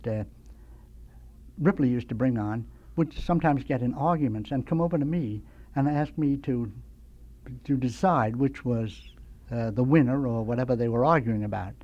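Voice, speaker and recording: Older American man reminiscing, rambling and halting. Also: mid-range voice, expressive, darkish tone, lo-fi sound. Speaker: radio guest, veteran sound effects man. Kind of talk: nostalgic anecdotal storytelling. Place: radio studio.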